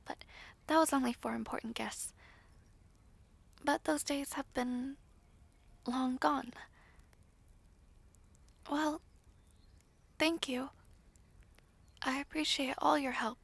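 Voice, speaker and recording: A woman's voice: high voice, gravelly, fairly bright tone, no echo, heavily acted. A woman playing a shy, humble young maid of noble birth in a fantasy audio roleplay, hesitant, polite and old-fashioned in speech.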